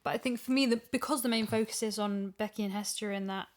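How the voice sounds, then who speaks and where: A woman's voice: medium pitch, steady tone, quick pace. A woman in a casual podcast discussion, making her case emphatically and reasoning it through as she talks. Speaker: podcast guest and voice actor. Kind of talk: casual discussion of an audio drama.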